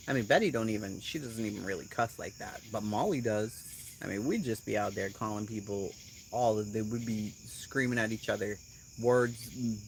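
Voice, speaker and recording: A woman with a Boston accent hosting a casual live chat at home, blunt and quick to swear, laughing often as she reacts to comments. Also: rumbling low, slight background noise, poor audio. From Boston area, USA. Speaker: channel host.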